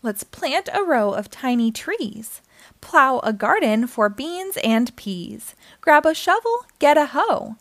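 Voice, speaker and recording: A woman's voice: high-pitched, very animated, slow, no room sound, full character voice. A woman voicing a firm, bossy female elephant who urges others on in a storybook. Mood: firm, urging. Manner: loud, firm, urging.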